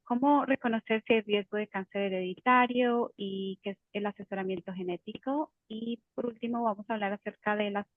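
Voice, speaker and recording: A woman's voice: mid-range voice, no room sound. Woman teaching on a tinny call. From Colombia. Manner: explanatory, with frequent fillers and restarts. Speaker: genetic counselor. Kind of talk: informative webinar talk. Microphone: video call.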